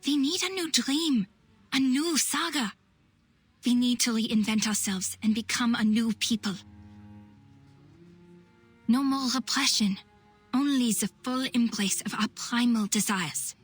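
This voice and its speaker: A woman's voice: fairly high pitch, unhurried, pauses often, husky, faint music behind. A woman voicing an idealistic young female doctor and revolutionary in a game, speaking earnestly and eloquently. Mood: fervent. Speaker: game voice actress. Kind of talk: dramatic game voice acting, monologue.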